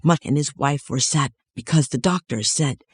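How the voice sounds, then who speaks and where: A man's voice: on the high side, moderate pace. A man narrating a scripted moral story as its storyteller.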